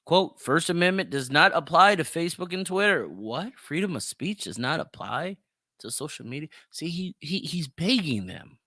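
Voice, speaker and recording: Male podcast commentator, emphatic and opinionated, sharp bright tone, close dry sound. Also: a little high, big pitch swings, normal speed, no echo. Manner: emphatic, repeats phrases for stress. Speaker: podcast host.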